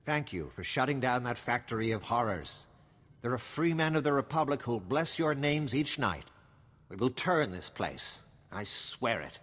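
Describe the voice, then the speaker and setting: Solemn idealistic resistance man in a game, husky lowish voice, bit of hall echo. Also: expressive, fairly bright tone. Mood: solemn resolve. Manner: earnest, measured, solemn. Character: solemn, grateful male freedom fighter with high ideals. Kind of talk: video game voice acting.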